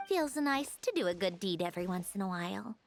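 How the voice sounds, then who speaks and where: A woman playing a haughty, prickly young woman in a video game, dry and sardonic, hiding a soft side. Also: medium pitch, expressive, brisk, natural voice. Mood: dry, aloof. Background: game music.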